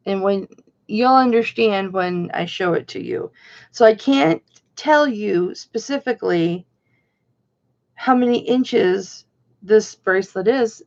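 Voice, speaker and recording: Slightly hoarse gravelly lady doing a chatty live auction, slow and kinda high, muffled stream sound. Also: darkish tone. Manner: chatty, greets viewers by name.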